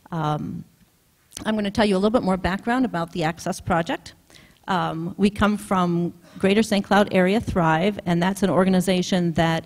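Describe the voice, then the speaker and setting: A woman project manager giving a conference keynote talk, relaxed and unscripted, with frequent ums. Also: lowish voice. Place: conference hall.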